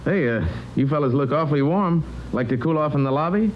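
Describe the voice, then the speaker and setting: Guy playing a friendly american hotel clerk, lightish voice, lively, muffled old radio drama. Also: a little high, brisk, natural voice. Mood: obliging. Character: courteous, friendly male hotel desk manager. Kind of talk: 1950s radio crime drama acting. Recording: muffled old radio recording, faint noise.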